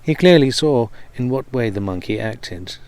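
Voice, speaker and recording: A man's voice: mid-range voice, animated, moderate pace, a little mellow. A man reading an old comic tale aloud as a storyteller.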